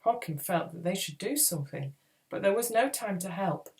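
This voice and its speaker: A woman reading a children's adventure book aloud in a dramatic, expressive storytelling style. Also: mid-range voice, moderate pace. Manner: expressive, acts out dialogue. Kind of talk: dramatic read-aloud narration.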